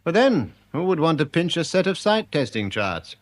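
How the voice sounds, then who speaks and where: An actor playing a dry, educated English optician with a precise, mildly sardonic manner, in a British radio drama. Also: male voice, on the high side, very animated, normal speed. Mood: mildly puzzled. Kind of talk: British radio comedy-mystery drama acting.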